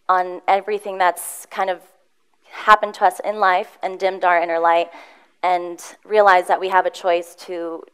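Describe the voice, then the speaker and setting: Very clear-voiced woman giving an earnest talk. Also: fairly low pitch. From Switzerland. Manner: earnest, warm storytelling with frequent ums and restarts. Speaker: motivational speaker. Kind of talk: conference talk. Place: conference room with audience.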